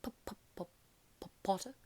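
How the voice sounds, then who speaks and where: A woman's voice: darkish tone, dry recording, natural voice. Woman acting a timid young male professor with a stammer. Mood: nervous, stammering. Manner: stuttering on first sounds, halting, muttering.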